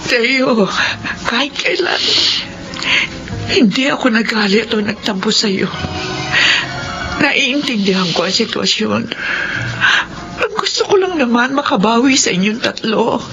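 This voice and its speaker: A woman's voice: fairly high pitch, animated. An actress playing a tearful, forgiving middle-aged mother in an emotional radio drama, speaking tenderly. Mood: earnest, sorrowful. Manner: emotional, slow, tender delivery. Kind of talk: Filipino radio drama acting. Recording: dull audio under loud music.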